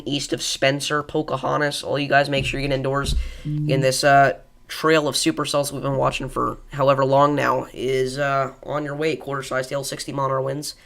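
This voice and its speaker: A man's voice: medium pitch, vocal fry, a bit bright. A young man with a congested voice, hosting a live weather stream in a casual, rambling way with many fillers and chatting with viewers. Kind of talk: live weather coverage, reading chat.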